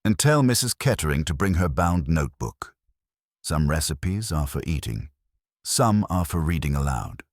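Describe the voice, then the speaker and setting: A man's voice: a bass voice, sing-song, moderate pace, no room sound, studio quality, heavily acted. A man voicing a commanding, precise detective genius in an audiobook, speaking in terse, formal sentences. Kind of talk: audiobook character dialogue.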